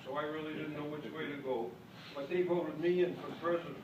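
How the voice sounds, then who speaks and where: Older man with a Maine accent, folksy after-dinner storyteller, slightly high voice, far off in an echoey hall with loud noise. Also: fairly even intonation, a little fast, lo-fi sound. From Boston. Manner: folksy, self-deprecating, jokes with the crowd. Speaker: speaker at a club convention. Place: large hall with an audience.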